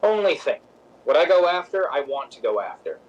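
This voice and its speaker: An actor playing a wry, candid, self-assured stage director in a radio drama, speaking plainly. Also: male voice, a little high, very animated, a little fast. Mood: wry, rebuffing. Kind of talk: old-time radio drama acting. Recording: slightly dull, lo-fi sound.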